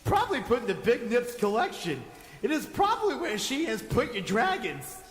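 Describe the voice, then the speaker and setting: A man's voice: very high-pitched, huge pitch swings, moderate pace, soft background music, heavily acted. A man playing a crazy, skinny captive wizard in a comedic audio drama, theatrical and teasing. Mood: conspiratorial, eccentric. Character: crazy skinny bearded captive wizard, eccentric last tribesman. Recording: very echoey, long stone-cell reverb.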